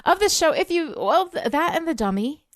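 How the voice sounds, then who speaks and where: A woman's voice: high-pitched, sing-song, moderate pace, dry recording, clean studio sound. A woman co-hosting a podcast, talking casually and emphatically with blunt, sweary opinions. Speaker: podcast co-host, TV show critic.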